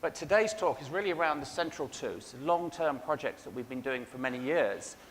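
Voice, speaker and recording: An Englishman gives a conference keynote in a measured way, with frequent ums and small restarts. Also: fairly high pitch, a bit bright. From England. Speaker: keynote speaker. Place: large conference hall. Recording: long hall reverb, faint background noise.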